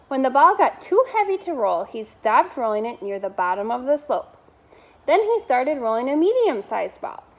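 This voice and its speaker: A woman reading a children's bedtime story aloud as a member of school staff. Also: on the high side.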